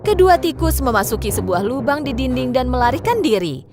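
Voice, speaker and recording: A woman's voice: high-pitched, sing-song, brisk. A woman narrating a scripted children's story. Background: music.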